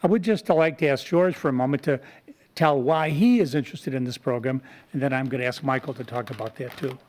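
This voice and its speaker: A man's voice: medium pitch, brisk, darkish tone. A man speaking as a community volunteer, giving an earnest, explanatory presentation at a public meeting. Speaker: community volunteer presenter, Rotary club member. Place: town board meeting room.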